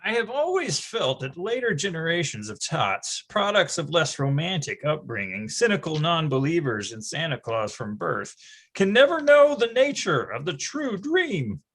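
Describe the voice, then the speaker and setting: A wry storyteller remembers his boyhood in a readers theatre play, acted by a man. The voice is high and very lively, with a husky edge and a mock-grandiose, emphatic delivery. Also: normal speed, heavily acted. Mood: wry nostalgia. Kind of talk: scripted dramatic narration, readers theatre.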